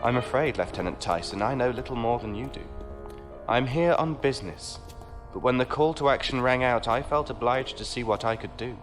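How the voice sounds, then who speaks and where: A man's voice: medium pitch, animated, fairly quick, soft background music, close to an everyday voice. A man playing a genteel knight who talks in a courtly, patronizing way with dry wit. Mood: wry. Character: genteel, condescending male knight with a ship.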